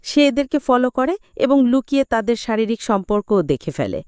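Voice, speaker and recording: Female movie-recap narrator, smooth and resonant, dry studio sound, dramatic scripted storytelling. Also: a little high, big pitch swings, moderate pace, a little mellow, no echo.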